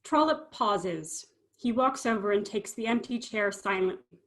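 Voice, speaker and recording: Unhurried woman reading stage directions. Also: mid-range voice. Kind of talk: scripted narration.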